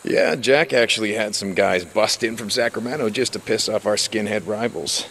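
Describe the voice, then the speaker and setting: An American actor playing a gruff, wry outlaw biker in a video game, with halting, sardonic delivery. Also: male voice, lowish voice, a bit bright, a little hiss or hum. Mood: dry teasing. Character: gruff, wry outlaw biker, adult man, guarded but teasing. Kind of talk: naturalistic cinematic game acting.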